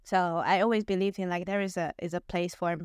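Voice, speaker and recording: A woman's voice: fairly low pitch, steady tone, brisk, smooth, no echo, clean studio sound. A woman in her twenties, a podcast host who grew up in Hungary, talking casually with lots of fillers and laughs. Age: 20s. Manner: chatty, laughs often, many fillers. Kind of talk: casual interview chat.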